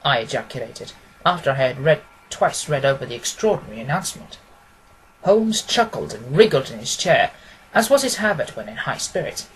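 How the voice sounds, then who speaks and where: A woman's voice: a bass voice, sing-song, moderate pace, slight background noise, poor audio. A woman reading a detective story aloud as an amateur audiobook narrator. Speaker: audiobook reader.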